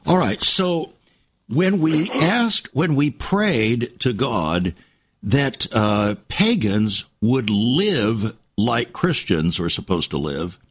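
A man's voice: medium pitch, big pitch swings, slow pace, slightly rough. A man hosting a radio show, who leads the conversation with questions and sums up his guest's points. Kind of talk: conversational interview.

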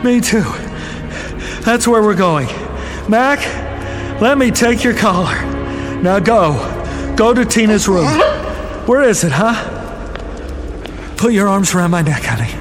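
High-voiced, desperate, tender father in reverb. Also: expressive, slow pace, long pauses. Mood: relieved, tender. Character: frantic, tender father searching a dark void for his daughter. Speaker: actor in a radio drama. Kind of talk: radio drama acting. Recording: long hall reverb, music underneath. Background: dramatic music.

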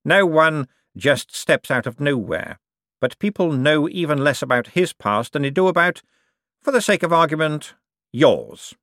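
Man voicing a smug, silkily menacing rich banker, raised pitch. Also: animated, fairly bright tone, no echo, studio quality. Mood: veiled threat. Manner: suave, condescending, drawn-out hints. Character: smug, silkily menacing wealthy male bank director. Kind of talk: audiobook character dialogue.

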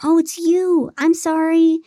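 A woman's voice: sits high, slow pace, mellow, dry recording, studio quality, pitched above the performer's own voice, full character voice. A woman voicing a put-upon, exasperated woman crew member in an audiobook, with a groaning manner.

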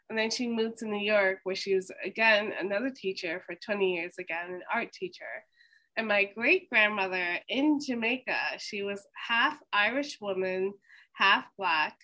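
A woman hosting an oral history interview, reading a slow, measured introduction. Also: medium pitch, pushed and bright, poor audio. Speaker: host.

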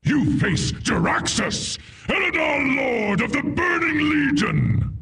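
A man voicing a pompous, bombastic demon lord from a fantasy game, slowly proclaiming in a raspy voice. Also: very high-pitched, sing-song, far from the mic, voice pushed up, full character voice. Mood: grandiose. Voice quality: gravelly, raspy. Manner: pompous, slow shouted proclamation.

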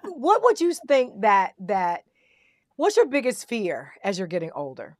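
A woman's voice: mid-range voice, wildly swooping pitch, a little slow, a little mellow, dry recording, clean studio sound. A woman talking in an outspoken, playful way in a casual chat.

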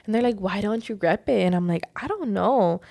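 A young woman talking casually as an interview guest, animated and quick to laugh, with many "likes". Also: mid-range voice, creaky. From United States. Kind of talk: casual conversation.

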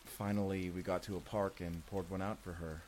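Male actor as sincere young time traveler, lowish voice, confiding and casual, sci-fi audio drama. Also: level tone, moderate pace, darkish tone, a little hiss or hum, natural voice. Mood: nostalgic. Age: young adult. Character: earnest, secretive young man from the future named Benji. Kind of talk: immersive audio drama roleplay acting.

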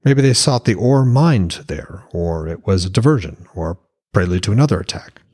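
A man's voice: sits low, expressive, normal speed, darkish tone, slightly rough. A man voicing a pragmatic Norse war-god councillor, analytical and matter-of-fact, in a fantasy audiobook. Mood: speculative.

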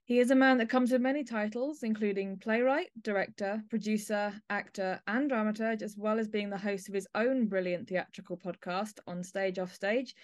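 A woman's voice: medium pitch, steady tone, moderate pace, hardly any pauses. A woman speaking as the host of a podcast, introducing and interviewing her guest.

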